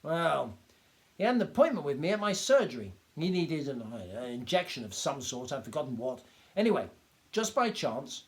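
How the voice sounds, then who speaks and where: A man voicing an excitable little village doctor who talks in a high, loud, indignant rush and trips over his words. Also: close to an everyday voice, low-quality recording. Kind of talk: audiobook character voice.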